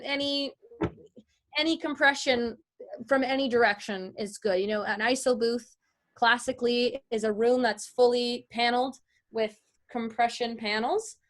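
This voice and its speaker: Woman, higher voice, informal online teaching, conversational, explanatory. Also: steady tone, unhurried, fairly bright tone. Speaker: voice-over artist teaching a lesson. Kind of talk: informal online lesson, answering questions.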